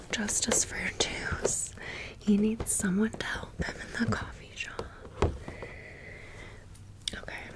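Slow, whispering woman doing ASMR. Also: mid-range voice. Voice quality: breathy whisper. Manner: casual, gushing, sassy slang. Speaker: ASMR video creator. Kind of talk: ASMR doll haul, rambling commentary.

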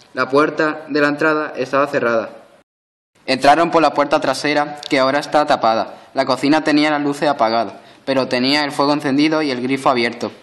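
A man's voice: medium pitch, fairly bright tone, natural voice. A man playing a grave, insistent old man who is secretly a ghost, telling a story solemnly in an amateur audio drama.

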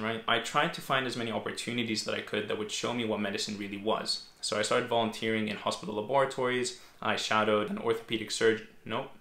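A man's voice: fairly low pitch, gravelly, pushed and bright. A young man in his twenties, a medical student, chatting casually through viewer questions at a desk in a small room. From Greece. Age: 20s. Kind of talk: casual Q&A vlog. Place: small bedroom home office, indoors. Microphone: fixed camera at desk. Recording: echoey room.

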